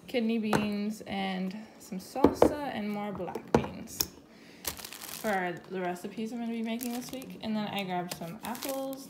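Chatty mom over loud background noise. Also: female voice, on the high side. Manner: relaxed, chatty listing with fillers. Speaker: mother filming a grocery haul. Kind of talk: casual grocery haul narration. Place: home kitchen, indoors. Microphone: phone camera held over counter. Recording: noisy home phone recording. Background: loud background noise, kids talking.

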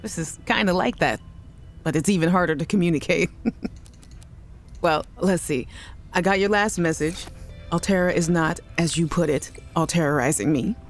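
A woman's voice: on the low side, moderate pace, long pauses, faint music behind, natural voice. A woman as a cheerful chatty big sister. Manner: cheerful, chatty, giggly, affectionate. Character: upbeat young woman messaging her little sister. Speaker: game character in audio logs. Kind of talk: voiced game audio log.

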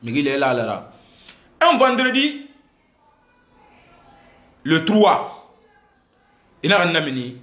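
A man's voice: fairly high pitch, very animated, slow, pauses often, fairly bright tone. A man in his thirties from Senegal speaks emphatically to his phone at arm's length, repeating himself and switching languages. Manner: emphatic, repetitive, switching between French and a local language, gesturing. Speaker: activist addressing followers. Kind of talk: impassioned selfie video statement. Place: indoor room with air conditioner. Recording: echoey room on a phone.

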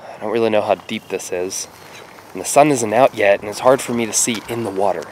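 A young man casually narrating his fishing trip, speaking quietly as he stalks fish by a lake. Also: a little fast, a little hiss or hum, poor audio. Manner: hushed and low while stalking fish. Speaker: fishing vlogger. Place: outdoors by lake flats, partly in a car. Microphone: action camera on head or in hand.

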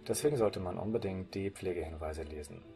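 Deep-voiced man reading a scripted presentation. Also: fairly quick, loud music behind the voice. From Germany. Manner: formal, read-out delivery. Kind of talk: scripted narration of a slide presentation.

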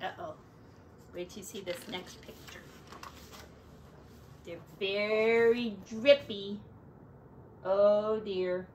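A middle-aged woman reading a picture book aloud to children like a teacher, with deliberate, drawn-out words and little asides. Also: medium pitch, fairly even intonation, darkish tone, slight background noise. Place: small furnished room at home.

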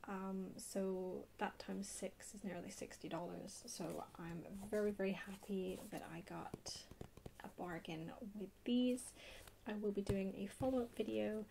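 A woman chatting casually in a soft, hushed, partly whispered ASMR style as she hosts a haul video. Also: mid-range voice, unhurried, gravelly, slight background noise, low-quality recording. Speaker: video host. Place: indoors at a table.

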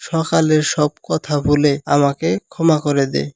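A man's voice: on the high side, flat delivery, unhurried, vocal fry, darkish tone, dry recording. A man playing a scolding, two-faced uncle in a cartoon story. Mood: coaxing, apologetic.

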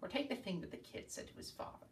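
A man's voice: fast, natural voice. A man playing a hot-tempered, anguished juror in a combative, agitated stage monologue. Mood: angry. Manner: combative, agitated, stammering outbursts. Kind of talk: theatrical dramatic monologue. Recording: echoey room, distant mic.

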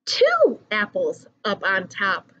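Young female storybook reader, singsong and expressive, slow pacing, big pitch swings, slightly lo-fi recording. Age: young adult. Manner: animated, singsong rhyming delivery. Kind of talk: expressive picture book read-aloud.

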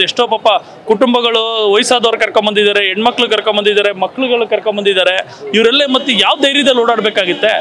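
A man in his thirties being interviewed outdoors at a handheld mic, speaking indignantly and firing off rhetorical questions. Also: high-pitched. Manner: indignant, forceful demands with repeated rhetorical questions. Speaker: member of the public interviewed. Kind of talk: street interview. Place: outdoors in front of a restaurant. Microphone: handheld mic held in front of him.

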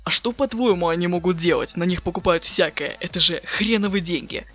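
A man's voice: sits high, brisk, sharp tone, soft background music, exaggerated voice. A man playing a cool, impatient, sardonic teenage boy in a dramatic webcomic reading. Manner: flippant, sardonic, slangy.

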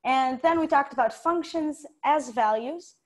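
A woman's voice: high voice, unhurried. A young woman giving an informal tech talk over a video call, chatty, giggly and self-deprecating. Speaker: conference speaker, software developer. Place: indoors, room with bookshelves.

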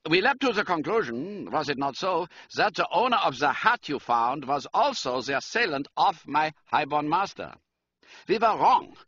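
A man's voice: on the high side, very animated, normal speed, fairly bright tone, breathy edge, muffled recording, pitched above the performer's own voice, exaggerated voice. A man voicing a stiff, ceremonious German count with a florid, formal way of speaking in a storybook reading. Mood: effusive.

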